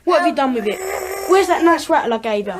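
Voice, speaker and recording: Very high-voiced, defiant English schoolboy. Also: female voice, expressive, fast talker, background noise. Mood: frantic. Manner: sullen, curt, evasive answers. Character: defiant, cheeky working-class schoolboy, scared underneath. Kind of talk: radio drama acting.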